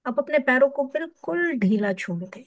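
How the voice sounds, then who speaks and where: A woman with an Indian accent leading a guided meditation, soothing and hushed at the ends of phrases, leaving long pauses. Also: sits high, animated, no room sound, clean studio sound.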